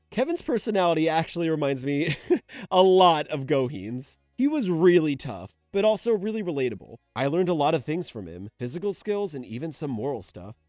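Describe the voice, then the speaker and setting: A young man giving casual video-essay commentary, animated and sometimes ranting, sometimes sentimental. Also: fairly high pitch, dry recording, studio quality. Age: young adult.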